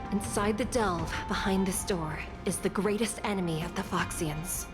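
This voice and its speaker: A woman voicing a stern, dutiful prison judge in a video game, speaking formally and gravely. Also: medium pitch, moderate pace, music in the background, close to an everyday voice. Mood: solemn. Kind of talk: video game cutscene acting.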